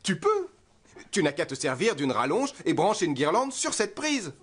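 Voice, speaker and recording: An actor playing a calm, sensible, cautioning firefighter hero in a children's cartoon. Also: male voice, high voice, moderate pace. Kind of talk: French-dubbed children's cartoon.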